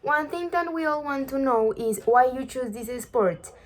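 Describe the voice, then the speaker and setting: A woman's voice: high-pitched, measured pace. A woman hosting an interview, reading introductions and questions from a script. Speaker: interview host.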